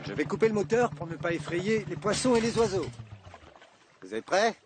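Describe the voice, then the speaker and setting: A man's voice: sits high, very animated, normal speed. A man voicing an easygoing fisherman dad in a children's cartoon, hesitant and stammering when flustered. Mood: eager. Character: easygoing, chore-dodging fisherman dad, excitable and sheepish. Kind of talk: animated children's cartoon dub. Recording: music playing behind the voice.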